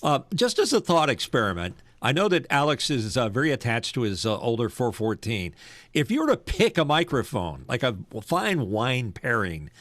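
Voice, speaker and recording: A man's voice: mid-range voice, big pitch swings, moderate pace, fairly bright tone, dry recording. A man hosting a podcast, playful and a little rambling as he sets up a question. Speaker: podcast host. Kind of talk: casual podcast chat.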